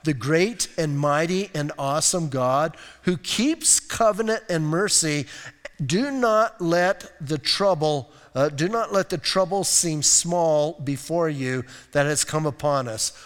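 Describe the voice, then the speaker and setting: Male pastor, sermon, somewhat high voice, conversational and emphatic, slow deliberate scripture reading, church hall echo. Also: lively intonation, fairly bright tone. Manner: conversational, emphatic, with rhetorical questions; reads scripture slowly and deliberately. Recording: reverberant hall sound.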